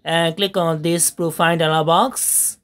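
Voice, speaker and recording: Woman narrating a software tutorial. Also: low-pitched, fairly even intonation. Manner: step-by-step instructions with frequent fillers and pauses. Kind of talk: screen-recorded software tutorial voice-over.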